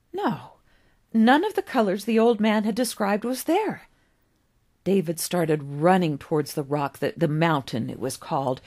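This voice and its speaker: A woman with a slightly low voice narrates a piece of fiction. Her reading is expressive and scripted, in the manner of an author presenting her own story. She shapes phrases dramatically and sets them apart with deliberate pauses.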